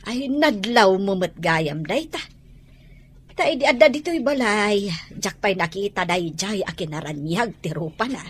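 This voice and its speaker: A woman's voice: mid-range voice, lively intonation, unhurried, slightly rough. A woman playing a practical middle-aged mother of a married son in an audio comedy drama. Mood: matter-of-fact.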